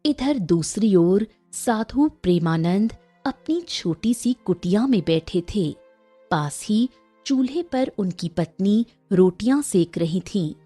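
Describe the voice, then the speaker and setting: Dark, husky woman storyteller. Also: medium pitch, expressive, slow, soft background music. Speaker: narrator. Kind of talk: scripted storytelling narration.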